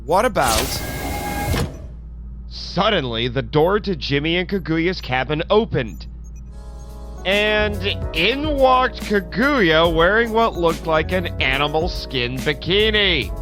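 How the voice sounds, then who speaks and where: A man narrating a scripted first-person adventure story in a theatrical, expressive way, acting out his own dialogue as he goes. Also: on the high side, takes it slowly, faint music behind. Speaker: podcast host narrating an audio story.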